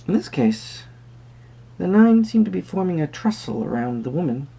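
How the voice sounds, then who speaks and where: An eccentric, courtly male tarot reader, acted by a man in a soft roleplay. His voice is mellow and husky with a gravelly creak, and he muses theatrically and politely, drifting into murmured asides. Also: sits high, big pitch swings, moderate pace, heavily acted. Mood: pensive, mildly fussy. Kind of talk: soft-spoken tarot reading roleplay to the viewer.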